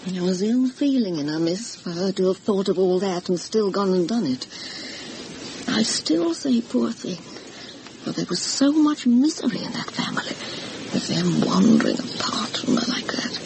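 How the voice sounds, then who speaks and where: A woman's voice: medium pitch, lively intonation, normal speed, lightly acted. A woman playing an anxious, gossipy older housekeeper in a vintage radio horror drama, confiding and deferential as she tells her story dramatically. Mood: sorrowful, confiding. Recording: noisy vintage radio recording.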